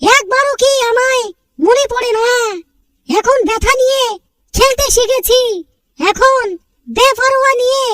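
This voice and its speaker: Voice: extremely high pitch, measured pace, pauses often, pushed and bright, exaggerated voice. A performer voicing a small cartoon character who recites sentimental love lines in a mournful, earnest way. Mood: sorrowful.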